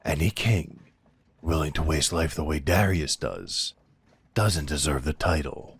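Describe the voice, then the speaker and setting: Deep, husky werewolf right-hand man, acted by a man. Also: animated, measured pace, heavily acted. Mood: indignant. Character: loyal, blunt, defiant werewolf right-hand man to a duke. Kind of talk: fantasy audio drama acting.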